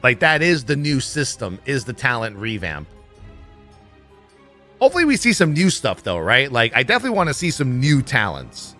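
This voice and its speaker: Bright, forceful man commenting on a livestream. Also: mid-range voice, huge pitch swings, normal speed, soft background music. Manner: blunt, swears freely. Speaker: livestream host. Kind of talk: casual livestream commentary on gaming news.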